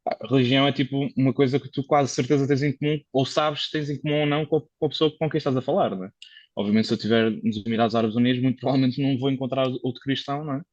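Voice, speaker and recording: A Portuguese man in a relaxed podcast conversation, chatty and explanatory, often circling back with fillers as he talks. His voice sits in a middle pitch, and the recording is dry and a little dull. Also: rapid-fire, no echo. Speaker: guest, car consultant. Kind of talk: casual conversational interview.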